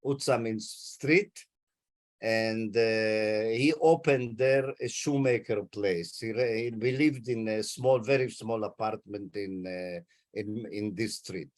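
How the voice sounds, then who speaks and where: A man's voice: on the low side, takes it slowly, darkish tone. A man in his eighties from Hungary, a native Hungarian speaker, carefully recounting his family history in an interview over a video call. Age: 80s. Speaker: interviewee, Holocaust survivor.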